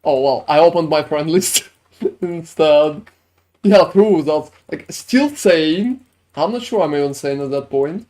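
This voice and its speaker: A man's voice: a little high, animated, a little slow, darkish tone. A man with a French and Swedish accent, chatting casually on a game live stream, answering viewers in a rambling, filler-heavy way.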